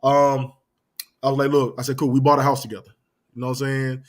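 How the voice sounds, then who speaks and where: Male podcast host, thirties, quick and loose, leans on "man" and "you know what I'm saying". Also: mid-range voice, little pitch movement. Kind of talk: casual podcast chat.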